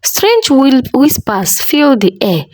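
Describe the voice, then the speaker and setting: A woman narrates a folktale at an unhurried pace. Her pitch moves in wide, dramatic sweeps, with deliberate pauses between phrases. The sound is dry and close, free of room echo. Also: big pitch swings, darkish tone. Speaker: storyteller.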